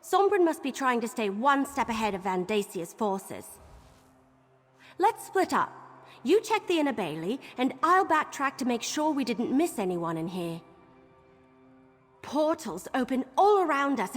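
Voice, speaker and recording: A woman's voice: sits high, sing-song, a little slow, exaggerated voice. An actress playing a plucky young female scout with quirky exclamations in a video game. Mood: urgent, puzzled. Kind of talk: video game quest dialogue. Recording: long reverb, music underneath.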